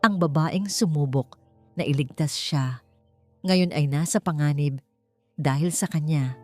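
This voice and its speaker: A Filipino woman narrates an audiobook drama in a fairly low voice. Music plays behind her scripted, dramatic storytelling. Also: a little slow, dark tone, dry recording. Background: background music.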